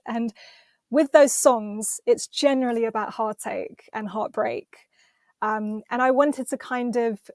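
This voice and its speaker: An English woman with a fairly high voice talks with eager enthusiasm, often restarting her sentences. Her voice sounds close and dry, with little room around it. She is a singer chatting as a guest. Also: a little mellow, no room sound. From London, England. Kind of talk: casual interview chat.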